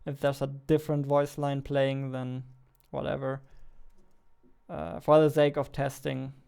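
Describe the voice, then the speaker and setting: Slow, creaky-voiced young man muttering while coding. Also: medium pitch, little pitch movement, mellow. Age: 20s. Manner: muttering to himself, repeating words. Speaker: game developer and streamer. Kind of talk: casual live coding chat. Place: small indoor room at a computer. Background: keyboard typing and clicking, faint music.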